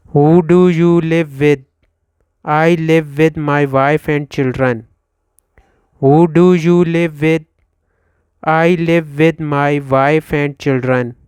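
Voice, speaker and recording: A Pakistani-accented man in his thirties, whose first language is Urdu, leads an English drill at a very slow, careful pace. His smooth, clear voice sits a little high for a man. The sound is dry and close, with no room echo. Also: darkish tone. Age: 30s. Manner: slow, deliberate, repeats each line for learners. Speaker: English teacher. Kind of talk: slow scripted question-and-answer drill.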